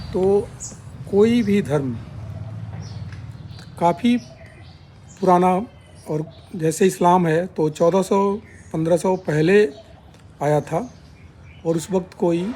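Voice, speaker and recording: Male explainer host, high pitch, measured and formal with broken phrasing; faint background noise and birds. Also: mellow. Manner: halting delivery in short broken phrases. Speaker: YouTube channel host. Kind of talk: spoken explainer, point by point.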